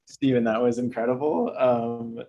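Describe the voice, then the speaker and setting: A man's voice: medium pitch, fairly even intonation, normal speed, warm tone, muffled recording. A young man with an American accent, from Michigan, casually hosting and introducing poets at a reading. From Michigan, United States. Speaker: host and curator of a poetry reading.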